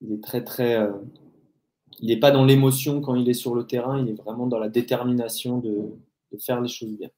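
Male host, relaxed dark tone, hesitant casual delivery, roomy echo. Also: medium pitch, normal speed. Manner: hesitant, many fillers. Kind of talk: casual live-stream chat.

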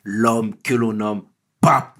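A man's voice: fairly low pitch, fairly even intonation, drawn-out words, sharp tone. Upbeat male talk show host. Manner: upbeat, mixes in English phrases.

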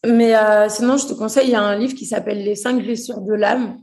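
A woman's voice: mid-range voice, steady tone, brisk, a little mellow. A young woman from Portugal talking about her life as an interview guest, hesitant, with many fillers and restarts. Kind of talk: casual interview chat.

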